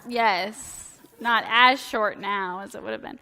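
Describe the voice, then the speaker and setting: A woman in her thirties, a priest, giving a casual, joking sermon with a hoarse, fading voice, chatty and self-deprecating with many filler words. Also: mid-range voice, slow pace, sharp tone. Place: church sanctuary. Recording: long church reverb.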